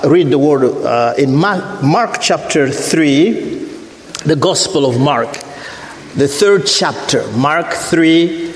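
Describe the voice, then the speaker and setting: Middle-aged man, French accent, guest preacher; slow, deliberate delivery with repeated points, fairly high voice, big reverberant church hall. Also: slight background noise. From Africa. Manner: deliberate, repeats phrases, rises in pitch when preaching. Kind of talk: sermon. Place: church sanctuary.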